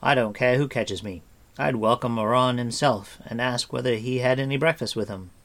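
A man's voice: medium pitch, moderate pace, fairly bright tone, close to an everyday voice. A man voicing a hearty, boastful wandering bard in a storybook reading. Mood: groaning. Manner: hearty, exclamatory.

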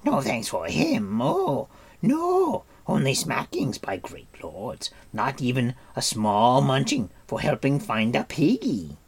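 A man's voice: mid-range voice, wildly swooping pitch, slow, gravelly, slightly rough, voice pushed up, heavily acted. A man voicing a whining, grovelling, self-pitying creature in a wheedling sing-song for a storybook.

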